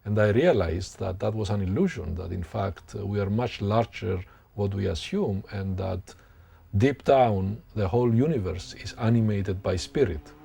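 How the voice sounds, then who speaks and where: A man, a professor thoughtfully recounting his life in an interview, pausing to find words. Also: fairly low pitch, gravelly, warm tone, slightly rough. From Greek background. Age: 60s. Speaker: sociology professor. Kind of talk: interview, reflective personal account.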